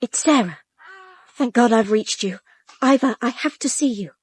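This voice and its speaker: A woman's voice: on the high side, moderate pace, husky, no echo. An actress playing an anxious woman, speaking urgently in a radio drama. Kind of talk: British radio drama dialogue.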